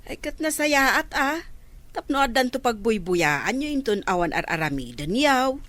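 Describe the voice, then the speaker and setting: A woman's voice: fairly high pitch, big pitch swings, moderate pace, a bit bright. A woman playing a curious, easily impressed village neighbour in a radio comedy drama, full of exclamations. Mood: admiring.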